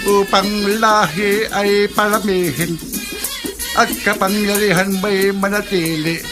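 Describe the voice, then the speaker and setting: An actor playing a solemn cult priest in a Filipino horror radio drama, intoning an incantation with a Filipino accent. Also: male voice, high-pitched, steady tone, takes it slowly, over music. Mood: solemn, reverent. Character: cult priest solemnly leading cobra goddess worship.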